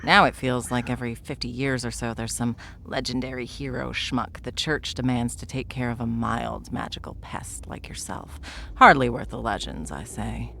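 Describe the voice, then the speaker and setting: A woman playing an ancient, smug, wry stranger in an ASMR fantasy roleplay, with theatrical, taunting delivery and a gravelly voice. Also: extremely low pitch, bright, forceful tone, slight background noise, exaggerated voice. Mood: wry, disdainful. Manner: sardonic, theatrical, unhurried taunting. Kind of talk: ASMR audio roleplay acting.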